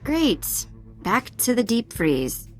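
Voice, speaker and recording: High-voiced, cheeky young sidekick. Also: female voice, sing-song, slow pace, soft background music. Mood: sarcastic. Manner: casual, playful, sassy. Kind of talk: video game dialogue acting.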